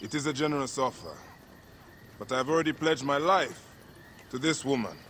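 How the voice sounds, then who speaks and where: An actor playing a resolute, vengeful warrior in a video game drama, speaking bluntly and with restraint. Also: male voice, medium pitch, normal speed, a bit bright, a little hiss or hum, close to an everyday voice. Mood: defiant. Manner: blunt, restrained, few words. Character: terse, resolute male warrior avenging his murdered son.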